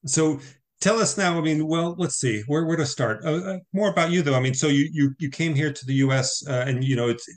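Man, podcast host, casual interview, rambling, halting, filler words. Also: fairly high pitch.

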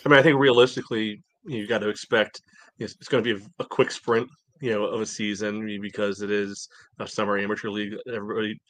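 Guy chatting sports on a podcast, lowish voice, super dry close sound. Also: little pitch movement, fast talker, a bit bright, no room sound. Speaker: podcast panelist. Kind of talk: casual sports chat.

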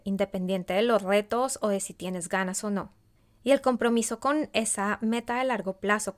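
Colombian woman, leadership coach and podcast host; scripted, reflective monologue with questions to the listener. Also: fairly high pitch. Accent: Colombian Spanish.

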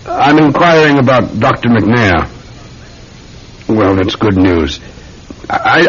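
An actor playing a measured, dry London police sergeant in a classic radio drama. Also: male voice. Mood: businesslike. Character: weary, methodical London police sergeant, a patient uncle. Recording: dull, rumbling, noisy old radio recording.

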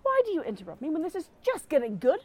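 A woman playing a wry, quick-witted halfling storyteller in a fantasy audio drama, sardonic and theatrical. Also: high-pitched, wildly swooping pitch. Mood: musing.

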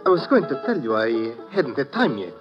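A man's voice: medium pitch, very animated, brisk, over music, lightly acted. A man playing a nervous, scheming newspaper editor who stammers and evades questions, in radio drama style. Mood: flustered. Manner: stammering, evasive. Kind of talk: 1950s radio drama acting. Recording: dull vintage radio broadcast in a reverberant space.

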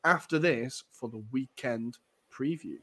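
A young man hosting a casual football podcast, chatty and jokey with lots of ums, his voice a little raspy. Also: medium pitch, measured pace, fairly bright tone. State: slightly raspy voice. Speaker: podcast host.

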